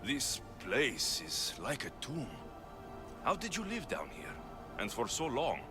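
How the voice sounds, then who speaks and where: A man's voice: mid-range voice, wildly swooping pitch, takes it slowly. A man playing a determined young mercenary hero of ancient Greece in a video game, blunt and resolute. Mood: guarded, demanding. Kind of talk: video game cutscene acting. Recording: music behind the voice.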